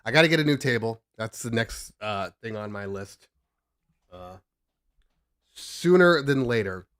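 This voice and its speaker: A man's voice: on the low side, animated, unhurried, fairly bright tone, no room sound, clean studio sound. A man hosting a casual DJ livestream, congested and just out of bed, rambling and joking as he sets up at his home desk. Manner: rambling, self-interrupting, joking. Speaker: DJ livestream host.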